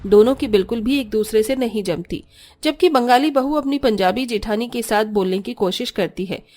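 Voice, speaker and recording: High-pitched woman narrating a cartoon story. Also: moderate pace, a little mellow.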